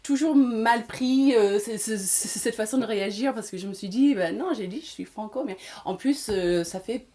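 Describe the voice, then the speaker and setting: A woman's voice: on the high side, normal speed, few pauses, mellow. A woman in her forties from Washington State, an English teacher and actress, telling her story in a casual interview with many hesitations. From Washington State, USA. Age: 40s.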